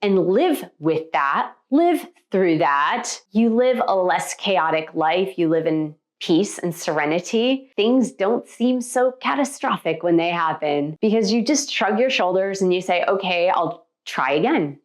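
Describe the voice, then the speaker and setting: A woman's voice: on the low side, animated, a little slow, hardly any pauses. An American woman speaking as a spiritual teacher in an emphatic, conversational way, addressing her viewers directly with motivational guidance. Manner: emphatic, conversational, with rhetorical check-in questions. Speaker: spiritual teacher and meditation coach.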